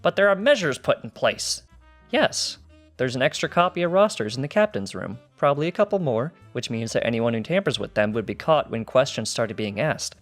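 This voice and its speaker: A man voicing a skeptical pony guard in a story reading, brisk, incredulous and exasperated. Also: medium pitch, sing-song, faint music behind, close to an everyday voice. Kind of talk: fan fiction character dialogue.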